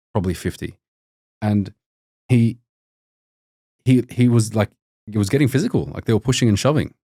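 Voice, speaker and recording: Deep-voiced man, rambling chat. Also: darkish tone, no echo, clean studio sound. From Australia. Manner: rambling, many restarts and fillers. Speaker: podcast guest, doctor. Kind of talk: casual storytelling chat.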